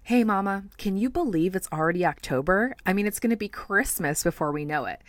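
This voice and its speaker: A woman's voice: medium pitch, expressive, brisk. A woman in her thirties hosting a casual podcast, talking straight to listening mothers in an encouraging way. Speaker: podcast host.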